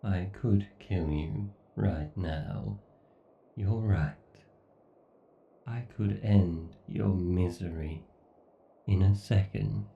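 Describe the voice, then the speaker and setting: A man playing an obsessed, sadistic, godlike AI that speaks with slow, theatrical menace in a horror ASMR roleplay. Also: deep voice, vocal fry, reverberant, distant mic, narrow, tinny audio, full character voice. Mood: coldly tempting. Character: obsessed, sadistic, godlike male AI tormenting its captive human.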